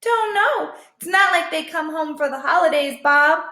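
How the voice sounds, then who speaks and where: Woman acting out scrappy storybook stray dog, female, high voice, blunt, resigned, roomy sound. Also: expressive, moderate pace, sharp tone, exaggerated voice. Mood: matter-of-fact, resigned.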